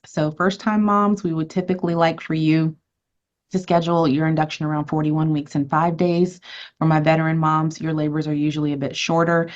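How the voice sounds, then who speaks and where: A woman physician hosts an informational webinar in a low, easy voice. She talks through slides conversationally, fielding questions and running polls. The audio is a little muffled, like a computer microphone on a video call. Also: darkish tone. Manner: friendly, conversational, answers questions and runs polls. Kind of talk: educational webinar presentation.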